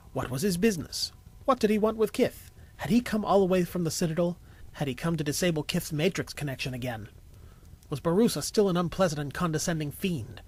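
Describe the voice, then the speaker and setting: Male story narrator, lightly high register, wry expressive delivery, music bed. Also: breathy edge. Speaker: audiobook narrator and voice actor. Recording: music behind the voice.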